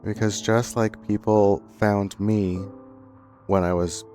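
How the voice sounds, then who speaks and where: A young man with a deep, low voice speaks straight to his audience, earnest and reflective. He pauses and restarts as he works through his thoughts. Soft music plays faintly under his voice. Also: fairly even intonation. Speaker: content creator. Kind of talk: reflective storytime monologue.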